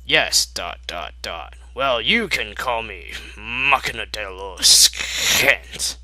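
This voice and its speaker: Man as a halting, bright-voiced stranded robot. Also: on the low side, big pitch swings, no room sound, full character voice. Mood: awkward. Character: awkward stranded male mech robot, hesitant, dryly joking.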